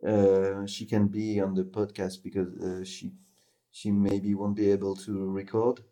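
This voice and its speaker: A man with a French accent, co-hosting a podcast in casual chat, halting with many fillers and restarts. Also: lowish voice, flat delivery, warm tone. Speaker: podcast co-host.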